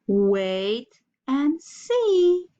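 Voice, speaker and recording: A woman voicing a calm, unhurried male panda from a storybook, in drawn-out, terse, measured phrases. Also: high-pitched, no echo, highs cut off, exaggerated voice. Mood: calm, teasing.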